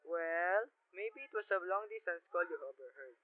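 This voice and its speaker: A teenage boy plays a police desk sergeant in a radio drama, his man's voice quite high and youthful. He is placating and condescending, brushing worries aside, and the recording is dry and thinly muffled. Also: lively intonation, normal speed, dry recording. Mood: skeptical. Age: teens, ninth-grade student. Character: unhurried, dismissive male police desk sergeant. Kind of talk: radio play acting. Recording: muffled, lo-fi amateur radio play sound.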